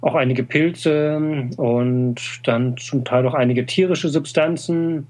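A man's voice: medium pitch, slow pace, a little mellow. A middle-aged man in an interview, explaining Chinese medicine with many fillers and tag questions. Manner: explanatory, frequent "äh" and "ne?". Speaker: TCM practitioner. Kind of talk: conversational interview.

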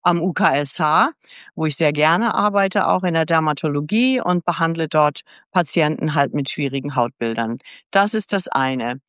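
Chatty older woman, smooth and close. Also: deep voice, moderate pace, fairly bright tone, no room sound. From United States. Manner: candid, chatty storyteller who acts out past dialogue. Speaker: podcast guest. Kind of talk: casual life-story interview.

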